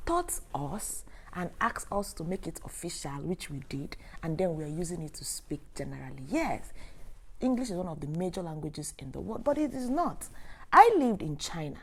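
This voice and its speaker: Nigerian igbo lady doing a live q and a, very animated up and down voice, slightly low, opinionated and emphatic. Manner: emphatic, opinionated, rhetorical questions. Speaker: host, sex educator. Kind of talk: live Q and A talk to online audience.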